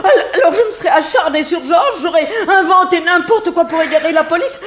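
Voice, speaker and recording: An actress playing a desperate, overwrought betrayed wife, shrill and pleading in a 1960s French radio melodrama. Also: female voice, lively intonation, brisk, a bit bright. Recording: echoey, noisy old radio recording.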